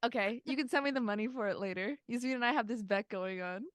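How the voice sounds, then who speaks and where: A woman co-hosting a podcast, joking energetically in casual banter and swinging loud at times. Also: fairly high pitch, quick pace, no echo, studio quality. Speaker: podcast co-host. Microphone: remote call, separate room.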